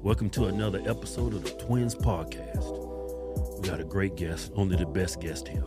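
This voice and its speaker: A man's voice: low-pitched, normal speed, loud music behind the voice. A man co-hosting a casual podcast, joking and swearing freely as he reads ads and questions his guest. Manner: joking, profane, plain-spoken. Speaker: podcast co-host.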